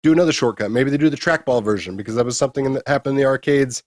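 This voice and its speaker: Male, medium pitch, blunt, emphatic, casual opinionated gaming talk, mild crude slang, co-host, dry sound. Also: rapid-fire, darkish tone, no echo. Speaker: co-host of a retro gaming show.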